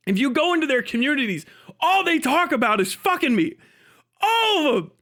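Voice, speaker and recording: A man's voice: very high-pitched, sing-song, normal speed, fairly bright tone. A man ranting on a casual livestream, mocking and incredulous, swearing heavily as he reacts to a video.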